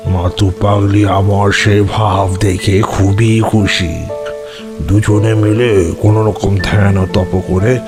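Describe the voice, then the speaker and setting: A man playing an old tantric who recounts eerie memories in a deliberate, measured way for an audio horror drama. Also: on the low side, little pitch movement, music in the background. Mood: measured, reminiscent.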